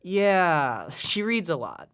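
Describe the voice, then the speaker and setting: Eager young boy, animated pitch. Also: slow pace, clear, resonant tone, exaggerated voice. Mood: flustered, evasive. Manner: hesitant, stammering when pressed. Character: eager, worried young son of a policeman, 1920s boy. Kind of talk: 1920s radio drama acting.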